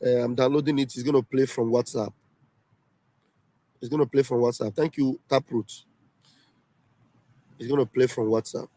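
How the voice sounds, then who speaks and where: A man's voice: medium pitch, steady tone, moderate pace, long pauses, mellow. A man hosting a casual livestream, emphatic and repeating himself often. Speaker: livestream host. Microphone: home livestream setup.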